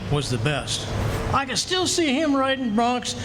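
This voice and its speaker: An actor playing a plain-spoken, wistful rancher of the Old West with rustic speech in a live radio play. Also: male voice, very high voice, wildly swooping pitch, a little slow, full character voice. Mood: wistful, admiring. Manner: rustic cowboy talk. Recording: noisy live stage recording.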